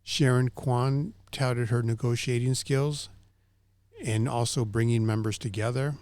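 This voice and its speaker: Man giving a halting community recap, close dry sound. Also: mid-range voice, a little mellow, no echo. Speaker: host of a community recap show. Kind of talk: semi-scripted spoken recap from notes.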